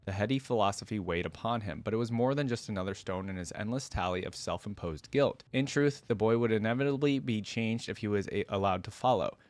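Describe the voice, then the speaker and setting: A man's voice: fairly low pitch, a little fast, few pauses, a little mellow, no room sound. A man reading fantasy lore aloud as the host of a reaction channel, with casual, thoughtful commentary.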